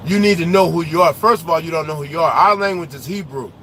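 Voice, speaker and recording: High, bright-voiced trucker ranting loudly. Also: male voice, fast, a little hiss or hum, poor audio. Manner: loud, animated, slangy trash talk. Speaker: truck driver vlogger. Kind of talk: casual ranting chat while driving. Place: inside a truck cab on the road.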